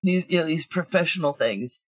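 A woman's voice: low voice, lively intonation, normal speed, breathy edge, no room sound, dull audio. A woman chatting casually as an interview guest, telling stories with plenty of asides. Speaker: guest, audio drama creator.

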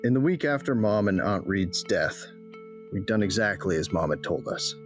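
A man's voice: on the low side, animated, moderate pace, faint music behind. A man narrating a drama in the first person, cocky and laid-back.

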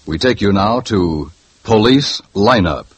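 An actor playing a portentous announcer of a 1950s police radio drama, husky and measured. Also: male voice, fairly low pitch, lively intonation, loud music behind the voice. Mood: grave, ominous.

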